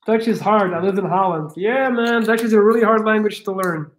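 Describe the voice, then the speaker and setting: A man's voice: high voice, fairly quick, slight background noise. A man in his thirties from Belgium, chatting casually on a home livestream and reading out viewer comments, recorded on a laptop mic in a living room. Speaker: livestream host, coach. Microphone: laptop webcam mic.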